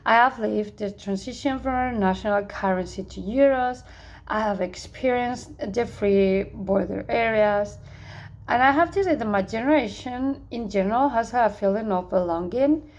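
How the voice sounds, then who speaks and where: A young woman from Spain with a Spanish accent, reading a prepared answer for a course in an earnest, measured way, with a few hesitant restarts. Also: on the high side. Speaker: student.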